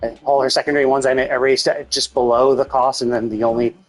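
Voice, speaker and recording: A man's voice: medium pitch, level tone, a little fast. A man thinking aloud in a rambling, casual way as he streams a video game. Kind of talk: casual livestream gameplay chat. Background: music.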